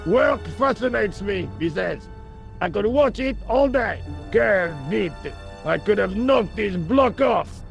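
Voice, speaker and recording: Cocky, gravelly, high-pitched workman. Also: expressive, slow, lo-fi sound, soft background music, full character voice. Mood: chatty, scornful. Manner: colloquial, blustering, scornful. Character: cocky, gruff, work-shy Parisian workman. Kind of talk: video game dialogue acting.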